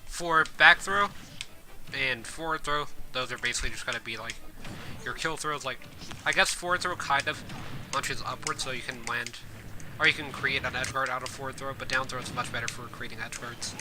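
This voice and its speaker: Hoarse man rambling through game commentary. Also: mid-range voice, moderate pace, noisy background. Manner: rambling, many fillers and self-corrections. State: hoarse from coughing. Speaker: streamer and game guide host. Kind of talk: casual gameplay commentary. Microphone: desk mic.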